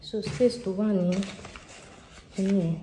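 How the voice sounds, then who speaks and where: A woman's voice: mid-range voice, slow, warm tone. A young Nigerian woman with a Nigerian accent, chatting to viewers while packing and dropping in Yoruba phrases, filmed on a handheld camera. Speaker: vlogger. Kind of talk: casual vlog chat. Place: large empty house with tiled floors, indoors. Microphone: handheld camera at arm's length. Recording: echoey empty room with clear noise.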